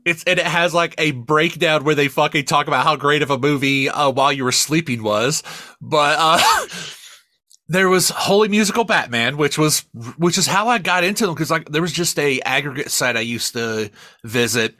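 A man with a fairly high, very bright voice chats casually as a podcast co-host. He is enthusiastic and swears freely, and the recording is close and dry. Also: moderate pace, dry recording. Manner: profane, enthusiastic. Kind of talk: casual chat about media.

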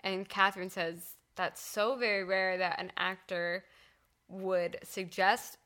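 A woman's voice: medium pitch, steady tone, takes it slowly, sharp tone. A woman co-hosting a podcast, chatting casually at home, agreeing easily and laughing along. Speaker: podcast co-host. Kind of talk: casual chat. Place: home room.

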